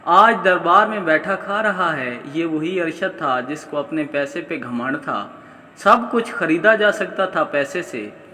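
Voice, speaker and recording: A man's voice: a little high, pushed and bright. A man narrating a scripted story in a dramatic storytelling style. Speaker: audiobook narrator. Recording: long hall-like reverb.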